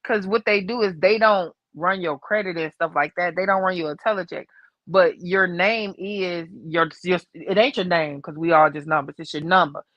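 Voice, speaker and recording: A woman's voice: fairly low pitch, steady tone, normal speed, dry recording, lo-fi sound. An American woman in casual discussion, emphatic and repeating phrases for stress. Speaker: panelist.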